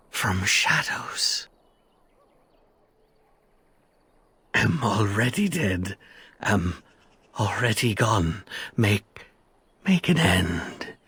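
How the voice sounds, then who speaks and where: An actor playing a dying, traumatized male survivor in a fantasy video game, speaking in broken, agonized, halting phrases. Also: mid-range voice, creaky, fairly bright tone, breathy edge, dry recording, exaggerated voice. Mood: delirious, pleading. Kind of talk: video game character acting.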